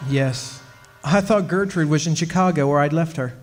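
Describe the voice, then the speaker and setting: A man's voice: a little high, moderate pace, close to an everyday voice. A man playing a henpecked husband haunted by his witch wife, telling his story in a wry, aggrieved, hesitant radio-drama manner. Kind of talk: old-time radio drama, storytelling monologue. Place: stage performance in a club hall. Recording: hall reverb, music behind.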